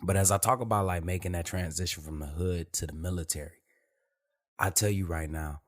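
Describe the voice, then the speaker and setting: Deep-voiced man, loose podcast talk. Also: fairly even intonation, fairly quick. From Detroit, USA. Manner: loose, rambling, peppered with "you feel me" and profanity. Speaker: podcast host. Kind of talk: unscripted casual monologue.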